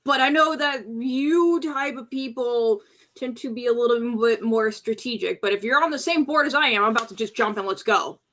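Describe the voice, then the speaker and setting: A woman's voice: fairly high pitch, moderate pace, fairly bright tone. A woman playing a tabletop roleplay game, chatting casually in an informal, brash and slangy way. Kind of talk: casual game chat, partly in character.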